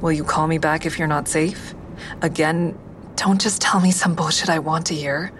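A woman's voice: low voice, steady tone, moderate pace, a little hiss or hum, lightly acted. An actress playing an earnest, blunt crisis helper who tells her story in a grave, plain-spoken way. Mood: firm, concerned. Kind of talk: dramatic first-person narration and dialogue.

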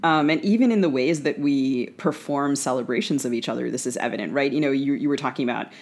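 A woman's voice: a bass voice, quick pace, darkish tone. An American woman co-hosting a conversational discussion, talking discursively with many asides as she works toward questions. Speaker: podcast co-host, interviewer.

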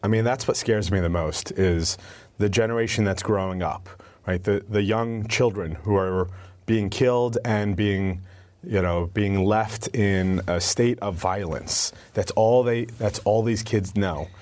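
A man's voice: lowish voice, a little slow, a little mellow. A man speaking as a guest spokesperson in an interview, answering earnestly and repeating words as he starts each thought. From United States. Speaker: advocacy spokesperson.

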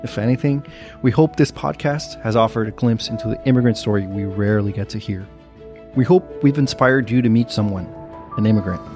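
A man hosting a podcast, reading scripted narration in a measured, earnest way. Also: lowish voice, over music. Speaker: podcast host.

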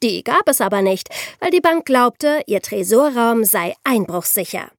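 High-voiced woman telling true-crime stories. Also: lively intonation, unhurried, no echo, clean studio sound. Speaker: podcast host. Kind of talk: conversational true-crime storytelling for a podcast.

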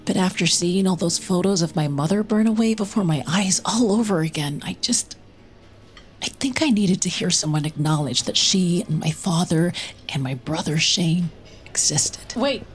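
A woman's voice: fairly low pitch, moderate pace, lightly acted. An actress playing a guarded, troubled mother in her thirties, hesitant and secretive, in an audio drama. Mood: somber, confiding. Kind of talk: audio drama acting and first-person narration. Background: music.

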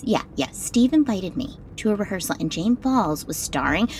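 Catty, animated diva played by an actress. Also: female voice, mid-range voice, normal speed, slight background noise, lightly acted. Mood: gossipy. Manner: sarcastic, gossipy, theatrical. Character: vain, catty, self-absorbed female stage star. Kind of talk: modern audio drama acting.

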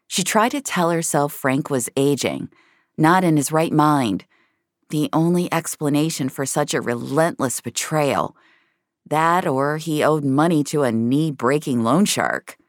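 A woman's voice: deep voice, expressive, unhurried, dry recording, clean studio sound. A woman narrating scripted audio fiction in a dramatic storytelling style.

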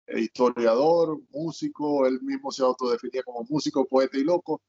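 A man's voice: mid-range voice, brisk, dark tone, husky. A middle-aged man from Zulia, Venezuela, with a Zulian accent, telling his life story as a guest in an interview and rambling with many fillers and restarts. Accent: Zulian Venezuelan Spanish. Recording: dull lo-fi audio.

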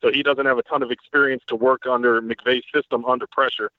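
A man guesting on a podcast, chatting casually with animated, profane, joking banter. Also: medium pitch, quick pace, no echo. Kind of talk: casual sports podcast chat. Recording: phone-like remote call line.

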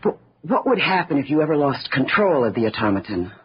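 A woman's voice: extremely low pitch, sing-song, brisk, breathy edge, full character voice. A woman playing the anxious, fearful daughter of a scientist in an old-time radio drama. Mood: anxious, shaken. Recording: muffled vintage radio recording, faint music.

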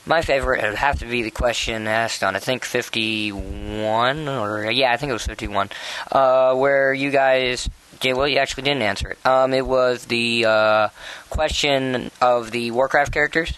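A man's voice: medium pitch, steady tone, moderate pace, a bit bright, clean tone, no echo, rough recording. A man leaving a casual, rambling voice message as a show listener. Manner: rambling, hesitant. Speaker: listener sending recorded question.

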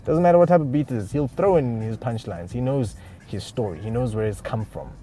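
A man's voice: medium pitch, fairly quick, dark tone. A man from Uganda, giving casual unscripted advice on music careers with lots of fillers and restarts. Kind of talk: casual spoken advice monologue.

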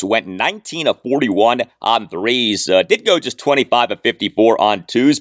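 Male American sports podcaster, lower-pitched, emphatic, swinging intonation, close dry studio sound. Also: a bit bright, no room sound. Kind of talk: scripted sports commentary and ad reads.

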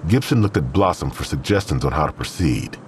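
A man's voice: rumbling low, expressive, a little fast, noisy background. A man narrating a scripted crime audio drama as its storyteller.

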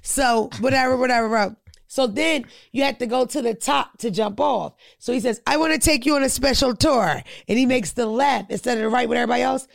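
Brief: gravelly host, loud and profane, dry close sound. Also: female voice, fairly high pitch, a little fast, no echo. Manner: loud, profane, animated storytelling with put-on quotes. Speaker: podcast host. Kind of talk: casual storytelling chat.

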